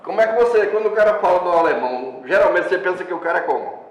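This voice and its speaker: A man's voice: high voice, very fast, echoey room, rough recording. An older man, a veteran reporter telling anecdotes in an interview and acting out the people he quotes. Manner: lively anecdotal storyteller, acts out quoted voices. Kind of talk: storytelling in a casual interview.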